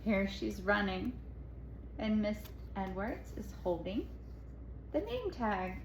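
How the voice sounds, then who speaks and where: A woman reading a children's picture book aloud expressively, pausing to explain the pictures. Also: mid-range voice, sing-song. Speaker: storybook reader. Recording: echoey room, faint background noise.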